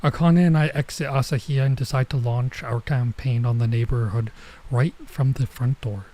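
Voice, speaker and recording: Mellow man reading and musing aloud. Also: medium pitch, fairly even intonation, moderate pace. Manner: musing, thinking out loud. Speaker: streamer playing a visual novel. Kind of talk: let's play read-aloud with casual commentary.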